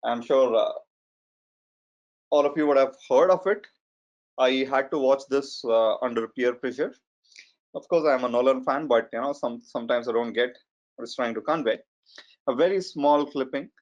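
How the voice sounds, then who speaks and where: Man, strong indian accent, forties, online webinar lecture, mid-pitched, dull muffled audio. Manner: measured, hesitant with fillers and restarts. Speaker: data science trainer. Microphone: computer mic on a video call.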